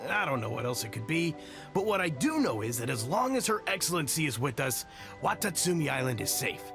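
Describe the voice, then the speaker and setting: An eager, gravelly soldier. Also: male voice, fairly high pitch, big pitch swings, brisk, over music. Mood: earnest. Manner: warm, earnest, talkative. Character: friendly grateful soldier, loyal and eager.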